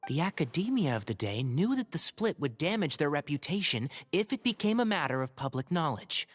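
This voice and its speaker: Earnest, expressive young ranger. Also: male voice, a little high, a little fast, in a large hall. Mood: analytical. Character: earnest young male forest ranger, level-headed, resolute. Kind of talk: video game voice acting.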